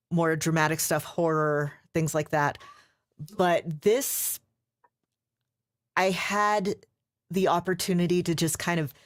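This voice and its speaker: Slow, low-voiced woman audiobook narrator. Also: no room sound, clean studio sound. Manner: thoughtful, measured, with small hesitations. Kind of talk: casual panel chat.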